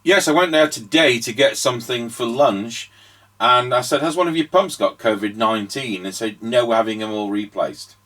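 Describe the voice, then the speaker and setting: A man's voice: medium pitch, moderate pace, a bit bright. A man giving casual demonstration commentary as a radio repair host and operator.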